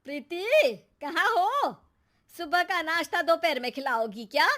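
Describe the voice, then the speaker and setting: A woman's voice: extremely high pitch, lively intonation, measured pace, bright, forceful tone, heavily acted. A woman playing a bossy, sarcastic middle-aged mother-in-law in a cartoon story, nagging and easily angered. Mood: angry, sarcastic.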